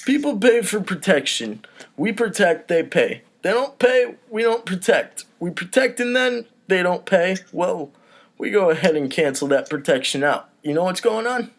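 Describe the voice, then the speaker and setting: A man's voice: high-pitched, lively intonation, normal speed, voice pushed up. A man playing a cocky mobster enforcer with punchy, clipped patter in a comedy script. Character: mobster enforcer explaining protection racket, cocky, repetitive.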